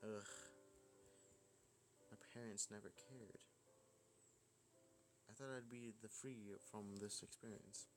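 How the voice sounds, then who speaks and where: A man acts out a teenage schoolboy hero from a visual novel game. He is flustered and hesitant, stammering through sarcastic replies, with clear music underneath. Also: on the low side, fairly even intonation, takes it slowly, long pauses. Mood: embarrassed. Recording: music behind the voice.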